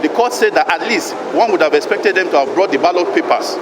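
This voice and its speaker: A man with a Nigerian accent giving measured, formal, legalistic remarks to the press as a lawyer. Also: a little high, animated. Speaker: lawyer commenting on a court judgment. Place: outdoors near traffic. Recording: very noisy outdoor street with traffic.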